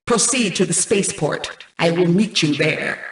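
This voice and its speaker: A woman playing a cold, imperious mainframe AI in a video game, menacing and calculating, with formal, commanding, measured robotic delivery. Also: fairly low pitch, animated. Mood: cold, satisfied. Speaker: game antagonist turned ally. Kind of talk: scripted video game voice acting. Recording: distant, echoey processed game audio.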